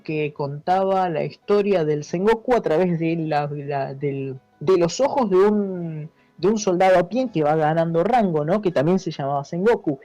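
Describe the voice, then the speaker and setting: Mellow Argentine man explaining, muffled dry recording. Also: sits high, dry recording. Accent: Argentine Spanish, Buenos Aires. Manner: halting, repeats words, seeks agreement with tags. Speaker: interview guest, history teacher. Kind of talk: casual explanatory interview talk. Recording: dull lo-fi, dry, music behind.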